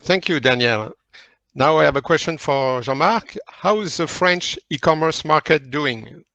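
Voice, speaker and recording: A man's voice: fairly high pitch, a little slow, pushed and bright, no room sound. A man moderating a webinar panel, with brief, polite handoffs to the speakers. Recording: dull dry lo-fi audio.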